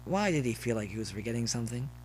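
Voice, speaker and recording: A man's voice: mid-range voice, lively intonation, rapid-fire, a little mellow. Man narrating a story over faint noise.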